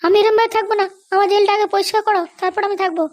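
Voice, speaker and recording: Cartoon comedy role: imperious jailed madam, extremely high voice, rapid-fire scolding and threats. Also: female voice, steady tone. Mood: demanding, indignant. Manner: bossy, scolding. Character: arrogant, threatening jailed madam demanding luxuries and release. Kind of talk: amateur cartoon comedy dubbing.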